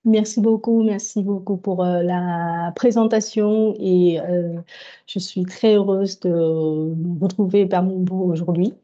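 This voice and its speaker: A woman's voice: lowish voice, steady tone, slow, mellow. A woman with a cold, a consultant starting a webinar presentation, hesitant with many fillers. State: has a cold. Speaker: market expansion consultant. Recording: muffled lo-fi video call audio.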